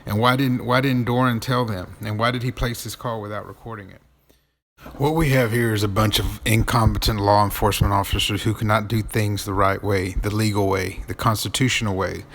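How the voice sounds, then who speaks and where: Male commentator, fairly low voice, accusatory, emphatic, rhetorical. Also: fairly even intonation, fairly quick, fairly bright tone. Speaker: narrator, commentator.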